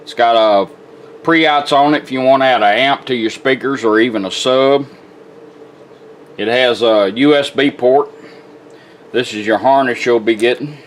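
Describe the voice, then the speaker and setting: A man's voice: medium pitch, unhurried, long pauses, a little hiss or hum. A plainspoken, folksy man giving a casual product demonstration as a radio restorer. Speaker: radio restorer presenting his work.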